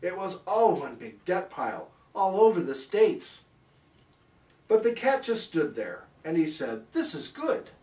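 A man tells a rhyming bedtime story with theatrical, singsong delivery. He takes his time with wide swings in pitch, and the sound is roomy and slightly distant. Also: sing-song, unhurried, warm tone.

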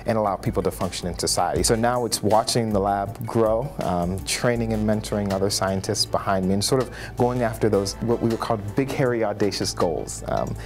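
Low-voiced male scientist, soft music. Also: a little fast, few pauses. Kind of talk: documentary interview.

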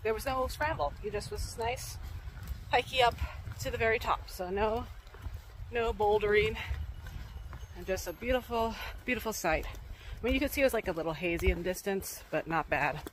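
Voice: medium pitch, moderate pace, pauses often, fairly bright tone, slight background noise, low-quality recording. A young adult vlogger talking casually to a phone camera held at arm's length while hiking a wooded mountain trail, a little out of breath, thinking aloud with many fillers.

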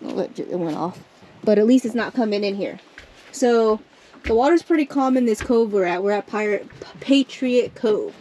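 A woman's voice: medium pitch, animated, normal speed, mellow, a little hiss or hum, rough recording. A woman chatting casually as a vlogger, rambling and trailing off mid-sentence, outdoors on a boat on a lake, recorded on an action camera. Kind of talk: casual vlog narration. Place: outdoors on a boat on a lake, under a mesh tarp.

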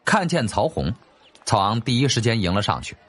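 一位男演播者用戏剧化又略带调侃的口吻讲述历史小说有声书。. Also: male voice, lowish voice, big pitch swings, brisk, a bit bright. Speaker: audiobook narrator. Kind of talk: dramatic storytelling narration of a historical novel. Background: faint background music.

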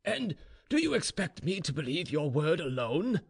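A man voicing a stern, suspicious Russian general and troubled father, speaking formally and gravely in a storybook reading. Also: a little high, big pitch swings, normal speed, voice pushed up, heavily acted. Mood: stern, demanding.

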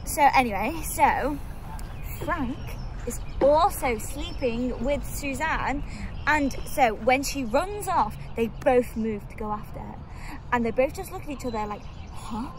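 A woman's voice: high voice, lively intonation, unhurried, poor audio. An actress playing a chatty young British girlfriend in a naturalistic audio drama, gossipy and a little impatient. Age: young adult. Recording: outdoor ambient noise.

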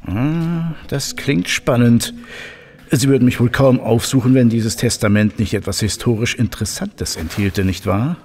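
An actor playing a genial, chatty professor of technical history in an audio drama, with a hesitant, jovial and bookish manner. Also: male voice, mid-range voice, moderate pace, a little mellow, lightly acted. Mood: curious.

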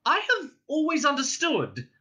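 A man voicing a small, frightened male hobbit who flatters nervously in a squeaky voice, in a storybook reading. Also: a little slow, breathy edge, exaggerated voice.

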